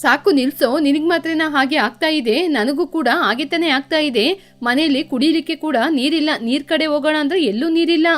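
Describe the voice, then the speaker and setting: A lazy, scornful cartoon crow lady, acted by a woman, with a very high voice. She mocks and grumbles over music. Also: quick pace, natural voice. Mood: irritated. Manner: mocking, complaining. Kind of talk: children's cartoon dubbing.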